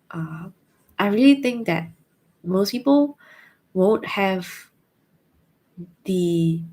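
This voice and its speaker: A woman's voice: fairly low pitch, a little mellow, husky, poor audio. A young woman with a Singaporean accent, thoughtfully answering viewer questions in a casual chat, hesitant with many fillers. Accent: Singaporean English.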